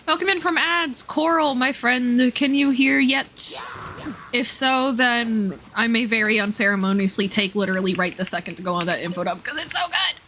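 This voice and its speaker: Enthusiastic, higher-pitched woman livestreaming. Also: normal speed, background noise. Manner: enthusiastic, rambling, thinking aloud. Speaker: live streamer. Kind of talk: casual livestream commentary on game lore.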